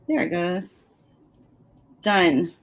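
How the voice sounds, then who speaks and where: Relaxed, slow-paced American woman in her forties, casual guest voice. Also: on the low side. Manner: unhurried, casual, laughs easily. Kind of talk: casual interview.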